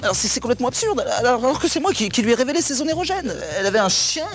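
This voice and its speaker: Neurotic stammering bookish guy, played by a man: lively up-and-down pitch, fast, music bed behind. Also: noisy background. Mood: anxious. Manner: nervous stammering, repeats words. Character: neurotic stammering male intellectual, anxious and rambling. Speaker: comedian playing a stammering intellectual. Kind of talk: sketch comedy.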